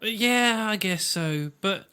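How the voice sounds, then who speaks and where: Man, Yorkshire accent, lively pitch, bright tone, teasing banter. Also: slow, smooth. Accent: Yorkshire English. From Yorkshire, England. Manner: jokey, teasing, swears freely. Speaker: podcast co-host. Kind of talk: casual banter.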